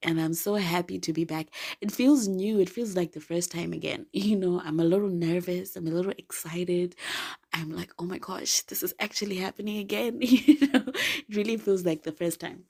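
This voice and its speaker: A young woman from Zimbabwe hosting her podcast, slightly raspy from a cold, chatting casually, laughing often and getting emphatic. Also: lowish voice, a little mellow. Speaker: podcast host. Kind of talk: casual solo podcast talk.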